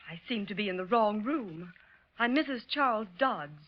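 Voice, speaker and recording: An American actress playing a grieving, genteel widow who rambles politely and plaintively in a 1940s radio drama. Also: female voice, mid-range voice, expressive, normal speed, close to an everyday voice. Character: grieving, genteel widow, a mother prattling sentimentally. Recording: muffled old radio recording.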